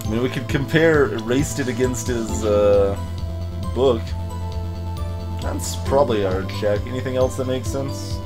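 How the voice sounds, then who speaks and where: A man's voice: mid-range voice, measured pace, over music. A man giving casual commentary as a let's play streamer, thinking aloud as he plays.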